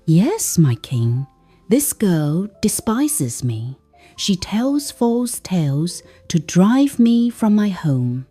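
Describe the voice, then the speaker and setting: Lady doing a wicked witchy stepmom from a folktale, dramatic, spiteful, very animated. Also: fairly low pitch, drawn-out words, slightly rough, dry recording, faint music behind, heavily acted. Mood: defiant.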